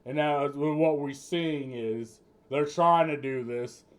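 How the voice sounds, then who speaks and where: Male streamer, unhurried and rambling, profane off-the-cuff commentary. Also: a little high, poor audio. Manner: rambling, swears often, restarts mid-sentence. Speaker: streamer reacting to news clips. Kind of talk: casual political commentary.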